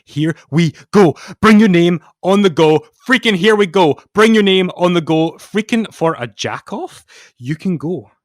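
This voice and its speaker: A man playing a solemn poet, giving a theatrical mock dramatic reading of song lyrics, moving between hushed and loud delivery. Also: high-pitched, lively intonation, a little slow, no echo, clean studio sound, voice pushed up.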